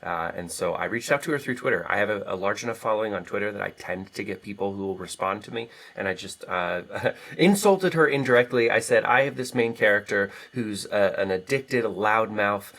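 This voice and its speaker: A very low-pitched American man talks as a podcast guest. His voice has plenty of fry, and he speaks candidly and earnestly, like an author discussing his own work. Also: lively intonation, fairly quick, few pauses, fairly bright tone, rough recording. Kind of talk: conversational interview answers.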